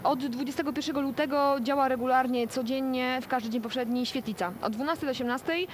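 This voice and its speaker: An earnest, insistent woman in her thirties with a somewhat high voice, answering interview questions on a lapel mic. Busy shopping-mall noise carries clearly behind her. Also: fast. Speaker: foundation representative. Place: shopping mall seating area, indoors.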